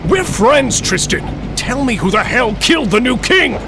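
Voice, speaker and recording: An actor playing a loyal, nosy, hot-tempered blacksmith in a fantasy audio drama, insistent and excitable. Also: male voice, very high voice, unhurried, gravelly, over music, heavily acted. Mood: demanding, heated.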